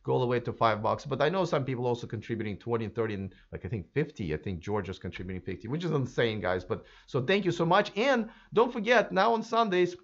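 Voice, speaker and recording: Hoarse man chatting about cars. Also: medium pitch, animated, quick pace, hardly any pauses, dull audio. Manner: chatty, speculative, many fillers and restarts. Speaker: YouTube electric car channel host. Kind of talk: casual solo commentary to camera.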